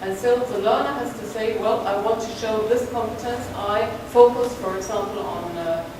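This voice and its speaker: A woman presenting at a language workshop, explaining with frequent pauses and self-corrections. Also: on the high side. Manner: hesitant, many fillers and restarts. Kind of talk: conference discussion. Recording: echoey room from far off with noise.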